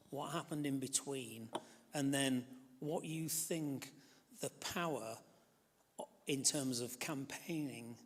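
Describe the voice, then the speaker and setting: A man's voice: mid-range voice, long reverb tail. A man from Leeds, moderating a symposium panel in a chatty way and often repeating words. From Leeds, England.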